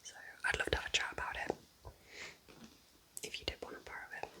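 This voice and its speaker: An ASMR creator whispering book recommendations in a breathy, unvoiced voice, with a gentle, informal, chatty manner. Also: quick pace.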